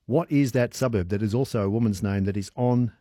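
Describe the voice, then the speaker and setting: A man's voice: lowish voice, a little fast, warm tone, dry recording, studio quality. An Australian man hosting a radio quiz, chatting and joking with callers on air. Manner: jokey, teasing, laughs often. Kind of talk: casual on-air chat with callers.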